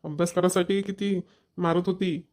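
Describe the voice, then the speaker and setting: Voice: mid-range voice, fairly even intonation, moderate pace, darkish tone, natural voice. A performer voicing a caring, mildly nagging village man in a family cartoon, with a rural Maharashtrian accent. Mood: casual, grumbling. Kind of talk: Marathi family cartoon voice acting.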